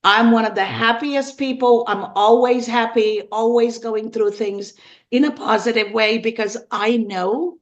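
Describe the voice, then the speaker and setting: A woman in her fifties from Sri Lanka, telling her personal story as an interview guest in an earnest, emphatic, deliberate way. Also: fairly high pitch, fairly even intonation, dull audio. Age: 50s. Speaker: podcast guest.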